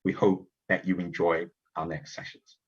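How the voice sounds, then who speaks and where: A man from Zimbabwe hosts a virtual conference opening in a deep, husky voice, earnest and emphatic, with small fillers and restarts. The sound is a little dull and lo-fi, as from an online stream. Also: little pitch movement, normal speed. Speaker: event host. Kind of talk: virtual summit welcome address.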